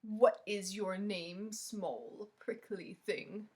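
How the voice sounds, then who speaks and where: A woman's voice: mid-range voice, drawn-out words, a little mellow. A woman voicing a big, unkind male rhinoceros from a storybook, growling and menacing in manner. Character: large gruff bullying male rhinoceros.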